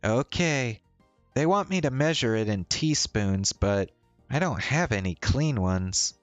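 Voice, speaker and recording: A man's voice: mid-range voice, animated, a little slow, no room sound, lightly acted. A man voicing a chatty, playful young woman in a visual novel, with a teasing, breezy manner. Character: young woman, casual, chatty, playful story-game heroine. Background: faint music.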